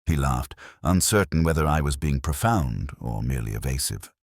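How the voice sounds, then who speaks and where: Man, extremely deep voice, expressive intonation, brooding literary mystery narration, measured delivery. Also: no echo, clean studio sound. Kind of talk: scripted first-person detective story narration.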